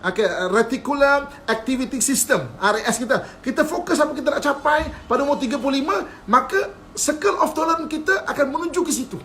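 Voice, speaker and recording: A man's voice: very high voice, quick pace, hardly any pauses, a bit bright. Malaysian guy teaching religion on a live stream, keeps checking everyone's following, chuckly and light, faint hiss behind.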